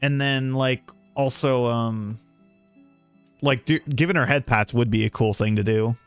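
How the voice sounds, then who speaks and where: A man chatting casually as he streams a game, rambling and halting as he talks. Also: medium pitch, steady tone, smooth, no room sound. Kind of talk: casual livestream chat while playing a game. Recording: dry close mic, game music behind. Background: soft game music.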